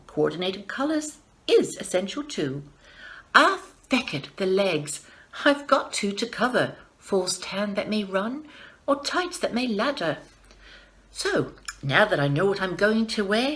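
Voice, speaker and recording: Expressive woman reciting comic verse. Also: medium pitch. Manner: wry, expressive, exasperated asides. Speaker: performer reading a piece.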